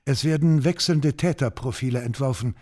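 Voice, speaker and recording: Mellow, sober male documentary narrator. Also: medium pitch, moderate pace. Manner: factual, sober.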